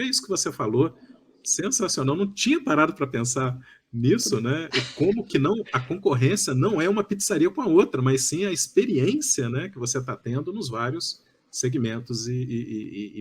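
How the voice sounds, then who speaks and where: A man in his early fifties with a Brazilian accent and a husky edge to his voice. He hosts a conversational podcast, speaking at a medium pitch in wordy, effusive turns peppered with little check-in questions. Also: big pitch swings, moderate pace. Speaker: podcast host.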